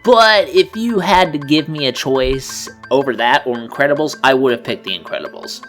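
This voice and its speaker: A man offers casual co-commentary on a video game playthrough. His voice sits at an average male pitch and his delivery is conversational, with game music in the background. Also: normal speed, fairly bright tone. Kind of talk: casual chat.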